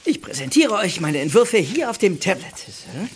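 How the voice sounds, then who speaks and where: A woman's voice: medium pitch, very animated, moderate pace, background noise, close to an everyday voice. An actress playing a proud, perky young woman designer in an audio drama, showing off with a flourish. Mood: proud, showy. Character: proud, showy young woman designer presenting cute creations. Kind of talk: German children's audio drama acting.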